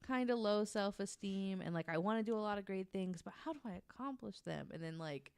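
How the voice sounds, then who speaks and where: A woman's voice: medium pitch, animated, fairly quick, vocal fry, a little mellow, clean tone, dry recording. A woman hosting a podcast, telling a nonfiction story in a casual, candid and self-deprecating way with some swearing.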